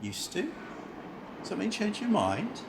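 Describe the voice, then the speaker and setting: Blunt, animated male city banker, acted by a man. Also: sits high, a little slow, vocal fry, noisy background, heavily acted. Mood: defensive, cynical. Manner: blunt, grumbling, questioning. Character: brusque, chatty city banker waiting for a train. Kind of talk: amateur radio play acting.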